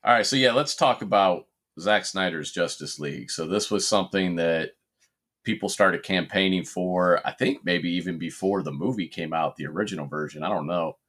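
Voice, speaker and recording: A man's voice: deep voice, normal speed, fairly bright tone. A man hosting a casual podcast, leading the talk in a laid-back way. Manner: laid-back, leads the discussion. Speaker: podcast host.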